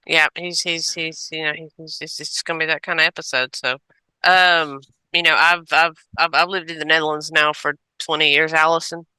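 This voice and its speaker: A woman's voice: low-pitched, flat delivery, moderate pace, sharp tone, clean tone, no room sound, poor audio. A woman from Georgia with a Southern accent, co-hosting a casual podcast chat over a video call, talking in a chatty, self-mocking drawl. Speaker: co-host.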